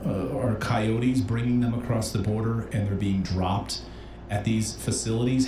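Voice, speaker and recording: A man conducting a serious interview as the interviewer. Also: on the low side. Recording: noisy, echoey interview recording.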